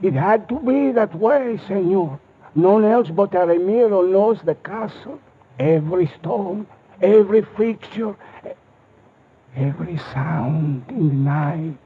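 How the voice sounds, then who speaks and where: High-voiced old Spanish castle servant. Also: male voice, lively intonation, takes it slowly, exaggerated voice. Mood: proud, solemn. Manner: formal, deferential, storytelling with dramatic relish. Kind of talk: 1950s radio mystery acting. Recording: noisy, tinny 1950s radio recording.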